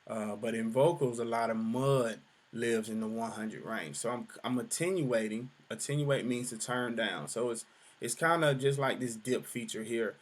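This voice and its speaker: A young adult man with a deep voice giving a relaxed music production tutorial, full of fillers. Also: moderate pace. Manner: relaxed explaining, many fillers. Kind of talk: screen-share tutorial explanation.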